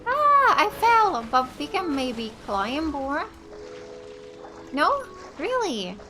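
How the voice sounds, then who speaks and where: High-pitched woman doing let's play commentary. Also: wildly swooping pitch, extremely slow. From Finland. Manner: reacts aloud, thinking out loud to herself. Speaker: gaming YouTuber. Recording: game music behind the voice. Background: video game music.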